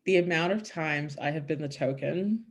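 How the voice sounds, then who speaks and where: Emphatic lower-voiced woman from Canada. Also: normal speed. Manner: emphatic, animated storytelling with laughs. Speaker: podcast guest. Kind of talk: casual conversation.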